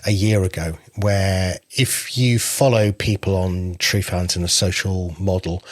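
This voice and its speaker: A man walks through new app features in a relaxed, chatty way. His voice is slightly husky. The sound is close and dry, with no room behind it. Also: lowish voice, no echo. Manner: explains product features step by step in a relaxed, chatty way. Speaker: podcast app founder and co-host. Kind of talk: casual podcast chat.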